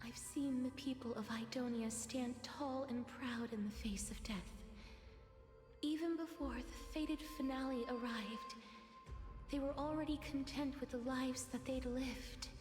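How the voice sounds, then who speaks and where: A hushed, sorrowful young woman in reverb. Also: high voice. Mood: sorrowful, conflicted. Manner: hushed, breathy, formal, deliberate. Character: solemn, sorrowful young woman tied to death. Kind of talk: dramatic video game voice acting. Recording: echoey, long reverb, music underneath. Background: game music.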